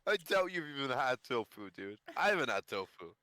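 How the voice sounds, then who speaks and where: A man's voice: mid-range voice, sing-song, brisk, bright, forceful tone, slight background noise. A young man co-hosting a casual podcast, teasing a friend in a playful, mock-formal interviewer manner. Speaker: podcast co-host. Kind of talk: joking casual podcast chat.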